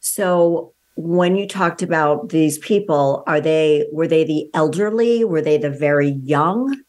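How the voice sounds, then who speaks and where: A woman's voice: deep voice, takes it slowly, a little mellow. A woman hosting an interview, reading the guest's introduction and then asking earnest questions that clarify his points. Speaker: podcast host. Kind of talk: interview host.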